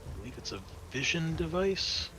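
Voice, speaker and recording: A man's voice: fairly high pitch, animated, moderate pace. A man acting as game master, narrating a tabletop roleplaying game in a casual, explanatory, conversational way. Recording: clear background noise.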